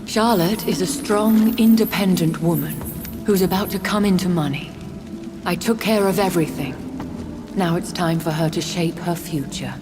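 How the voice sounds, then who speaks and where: A woman acting a refined, sorrowful vampire noblewoman in a video game, speaking in a formal, solemn, measured way. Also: lowish voice, natural voice. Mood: resigned resolve. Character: refined, sorrowful, devoted female vampire noblewoman of great age. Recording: music and rain behind the voice.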